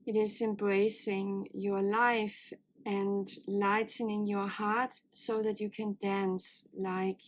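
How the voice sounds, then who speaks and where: Soft-spoken woman with a low, hoarse voice. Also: slight background noise, rough recording. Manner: calm, earnest, gently encouraging. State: voice low and a bit hoarse, as with a cold. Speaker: oracle card reader. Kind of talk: spiritual card reading to viewers.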